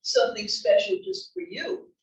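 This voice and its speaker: A woman's voice: very high-pitched, expressive, normal speed, gravelly, full character voice. A woman reading the part of a stylish, teasing, affectionate wife in her seventies in a comedy table read. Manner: wry, teasing. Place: library room reading before an audience. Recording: echoey room, distant mic.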